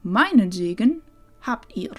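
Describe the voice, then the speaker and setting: A woman playing a loving, wise old grandmother in a fantasy audio drama, speaking solemnly and tenderly with breathy phrase endings. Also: medium pitch, sing-song, takes it slowly, fairly bright tone, soft background music, exaggerated voice. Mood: encouraging.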